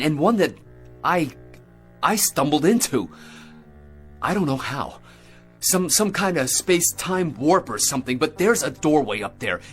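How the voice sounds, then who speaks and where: An actor playing a shaken male astronaut major in a radio drama, speaking in a halting, earnest way. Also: on the high side, natural voice.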